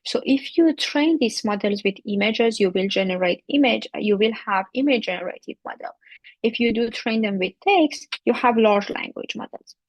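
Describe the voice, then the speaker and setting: A woman's voice: on the high side, moderate pace, no room sound, poor audio. Woman, speaker at a tech talk, explanatory, dry close sound. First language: Persian. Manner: explanatory, with frequent fillers and restarts. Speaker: AI researcher giving a talk. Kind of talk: technical presentation.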